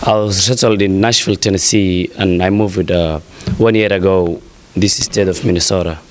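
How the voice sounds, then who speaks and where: A young man from Somalia, a refugee advocate, gives a halting, earnest statement at a press conference, full of pauses and fillers. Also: low voice, steady tone. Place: outdoor press event.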